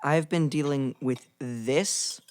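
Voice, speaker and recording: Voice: medium pitch, slow, darkish tone, slight background noise, lightly acted. An actor voicing a precise, bookish boy with a formal, fussy, wordy way of talking in a children's audio drama. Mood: matter-of-fact. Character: precise, bookish boy, the careful twin brother.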